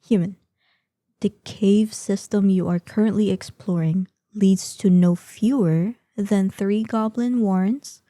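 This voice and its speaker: A woman's voice: mid-range voice, fairly even intonation, warm tone, no room sound, natural voice. A woman playing a proud, ancient female dragon in human form, speaking formally and imperiously in a scripted fantasy audio roleplay. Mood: curious, admonishing. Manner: imperious, formal archaic diction, measured.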